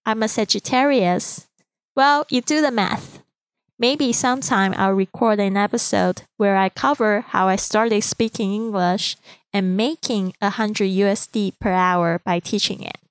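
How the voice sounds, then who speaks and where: A woman in her thirties from Taiwan with a Mandarin accent, a podcast host reading a calm, earnest, inspirational script. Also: mid-range voice, a little slow, clear, resonant tone, no echo. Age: 30s.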